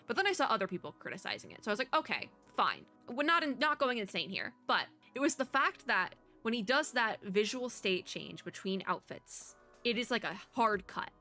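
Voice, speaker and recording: Female VTuber host for game reactions: bright, chatty, slangy and gushing, prone to rambling, light music underneath. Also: mid-range voice. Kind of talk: casual game reaction commentary.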